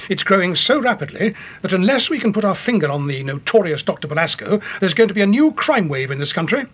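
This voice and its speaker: An actor playing an earnest, authoritative British police chief in a radio detective drama, grave and deliberate in manner. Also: male voice, sits high, sing-song, slightly rough, exaggerated voice.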